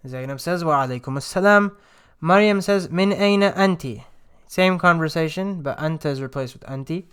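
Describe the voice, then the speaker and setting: A man's voice: on the high side, fairly bright tone, clean tone. A young man teaching Arabic phrases from a textbook, patient and explanatory, often checking in with "right?" and "okay?".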